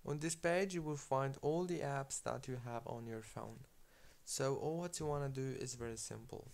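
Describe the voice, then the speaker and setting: A man's voice: mid-range voice, moderate pace, vocal fry, darkish tone. A man narrating an instructional phone tutorial as its host, giving directions step by step. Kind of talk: instructional screen-guide narration.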